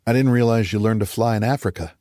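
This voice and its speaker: A man's voice: fairly low pitch, quick pace, mellow, no echo, studio quality, natural voice. Man voicing a keen, joking younger pilot. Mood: curious, joking. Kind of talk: audiobook character dialogue.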